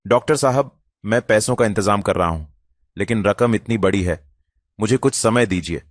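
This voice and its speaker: A man's voice: fairly low pitch, moderate pace, no echo, clean studio sound, natural voice. A man voicing an earnest elder brother and anxious shopkeeper father in a cartoon. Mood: worried. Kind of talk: cartoon voice acting.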